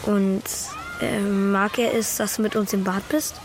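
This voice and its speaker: A woman playing a calm, curious, direct young schoolboy in a German children's radio play. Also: mid-range voice, measured pace, noisy background, lightly acted.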